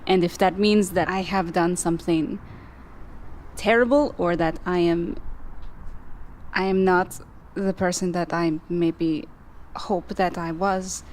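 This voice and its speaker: A woman roleplaying an earnest, vulnerable amnesiac woman with a halting, pleading way of talking. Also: lowish voice, steady tone, a little mellow, a little hiss or hum. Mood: pleading, emotional.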